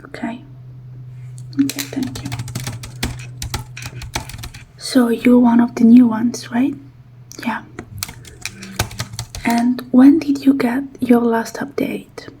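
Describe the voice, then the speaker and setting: Woman doing asmr roleplay, breathy whisper, really slow n mellow, gentle and reassuring. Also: fairly high pitch, flat delivery, slight background noise. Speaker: ASMR performer roleplaying a robot technician.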